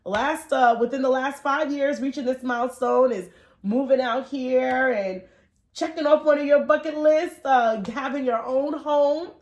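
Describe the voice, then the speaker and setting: Higher-voiced woman host in a roomy space. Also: unhurried, darkish tone. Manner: encouraging, frequent affirming interjections. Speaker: podcast host. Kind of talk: supportive interview conversation.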